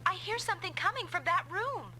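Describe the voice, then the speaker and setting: Bright, high-pitched, inquisitive young heroine. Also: female voice, lively intonation, a little fast, slight background noise, heavily acted. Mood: curious. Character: young female pilot partner, inquisitive, alert, persistent. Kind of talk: anime-style English video game dub.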